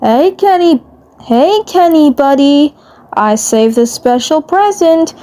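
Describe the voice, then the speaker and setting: A high cartoon creature's voice, acted out by a woman, friendly on the surface and creepy underneath. It coaxes slowly, drawing out its words. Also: animated, mellow. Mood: cheerful, coaxing.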